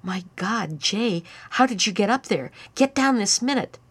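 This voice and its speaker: A woman voicing a frightened mother who gives sharp, urgent orders. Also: a little high, sing-song, moderate pace, voice pushed up, heavily acted. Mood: alarmed stern. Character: frightened mother, stern and anxious.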